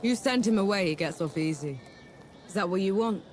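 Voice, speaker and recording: Casting: vengeful game warrior, high register, blunt and determined. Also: male voice, animated, brisk, a little hiss or hum. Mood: grim resolve. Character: defiant, vengeful warrior out to kill gods.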